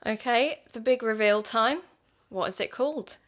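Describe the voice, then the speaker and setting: A woman's voice: on the high side, normal speed, fairly bright tone, natural voice. A woman playing an anxious but determined young podcaster in an audio drama.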